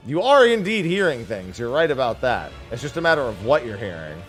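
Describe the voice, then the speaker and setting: A man's voice: medium pitch, sing-song, brisk, a bit bright, soft background music. A man giving casual livestream commentary on a video game, playfully mimicking the characters.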